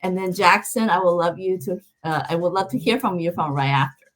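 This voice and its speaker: A middle-aged woman from Taiwan hosting a live online interview, speaking in measured, scripted-sounding introductions with some fillers. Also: on the low side, fairly bright tone, poor audio. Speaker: host.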